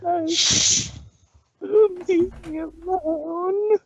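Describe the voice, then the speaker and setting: A woman's voice: very high-pitched, fairly even intonation, very slow, voice pushed up, full character voice. A woman voicing a bubbly young female pony who turns hurt and pleading in a fan reading. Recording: dull dry lo-fi stream audio.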